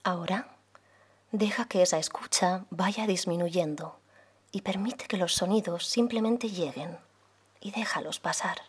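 A woman leading a guided meditation as a yoga guide, speaking softly and soothingly, unhurried, with pauses between phrases. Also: fairly low pitch, breathy edge. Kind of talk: guided meditation, slow calm instruction.

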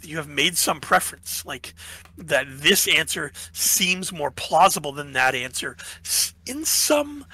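A man's voice: fairly high pitch, expressive, measured pace, pushed and bright, breathy edge, poor audio. A man co-hosting a podcast, musing through philosophy in casual chat, checking in with "right?" and losing his voice. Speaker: podcast co-host.

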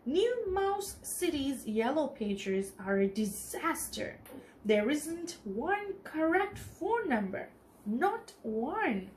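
A woman voicing an anxious female mouse secretary who squeaks her words in a high, flustered way. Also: big pitch swings, takes it slowly, a little hiss or hum, exaggerated voice, rough recording. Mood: alarmed.

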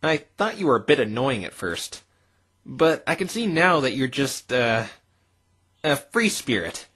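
A woman's voice: extremely low pitch, very animated, measured pace, a bit bright, breathy edge, heavily acted. A woman voicing an earnest, easily flustered young male defense lawyer in a visual novel dub, stammering at times. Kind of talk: visual novel fandub acting.

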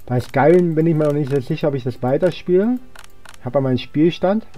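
A man's voice: a little high, quick pace, warm tone, soft background music. A young man giving casual gameplay commentary, rambling and thinking aloud as he plays. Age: young adult. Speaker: let's player.

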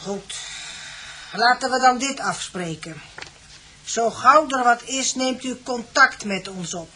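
A woman's voice: on the high side, takes it slowly, bright, forceful tone, slight background noise. A woman playing an uneasy, superstitious farm landlady in a radio drama, giving hesitant, ominous warnings. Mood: grave warning.

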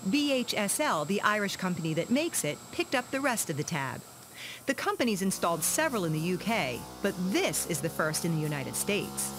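A woman's voice: on the low side, very animated, a little fast, music in the background. A woman reporter reading scripted narration for a news report. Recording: clear background farm noise.

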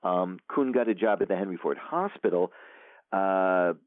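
A man's voice: sits low, moderate pace, no echo. A man, an author being interviewed, talking casually and rambling with many fillers and restarts. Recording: tinny phone line.

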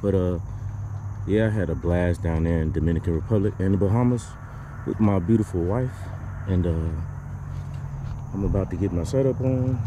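A man's voice: sits low, noisy background. A middle-aged man chatting casually and folksily to his viewers as he vlogs outdoors on a camera. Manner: folksy, informal, repeats "y'all". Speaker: fishing vlogger. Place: outdoors on a wooden waterside boardwalk. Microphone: action camera, at arm's length or nearby.

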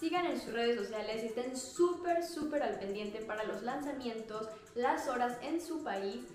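A woman's voice: a little high, expressive, normal speed, darkish tone. A young woman with a Mexican Spanish accent, chatting casually and gesturing as she reviews activewear for her vlog. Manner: bubbly, chatty, gestures a lot, laughs often. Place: small indoor room at home. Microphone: clip-on lapel mic. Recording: very echoey small room.

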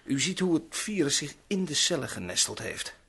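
An actor playing a doctor and scientist who works through the night, talking in a jovial, chatty way in an old radio drama. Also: male voice, a little high, very animated, moderate pace, creaky, sharp tone, exaggerated voice. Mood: earnest. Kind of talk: vintage Dutch radio drama acting.

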